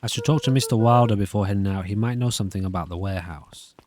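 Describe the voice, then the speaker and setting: A man's voice: lowish voice, fast, darkish tone, a little hiss or hum. A man giving casual commentary as he plays through a game. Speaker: gaming video host. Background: game music.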